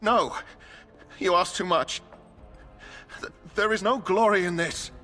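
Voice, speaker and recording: A panicked young recruit. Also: male voice, high-pitched, slow, bright, forceful tone, soft background music, full character voice. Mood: panicked pleading. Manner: pleading, panicked. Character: terrified young male recruit, desperate. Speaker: game character.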